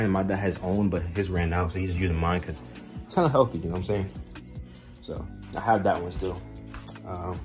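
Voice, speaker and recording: Low-voiced young man rambling casually. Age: 20s. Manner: informal, slangy, halting, often says "man". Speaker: vlogger. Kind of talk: casual rambling chat to camera. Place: indoors at home, moving between rooms. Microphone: handheld phone at arm's length.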